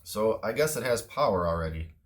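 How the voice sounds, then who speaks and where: A man's voice: fairly low pitch, animated, fairly quick, a little hiss or hum. A man talking through a product in a casual, unscripted review, matter-of-fact and understated.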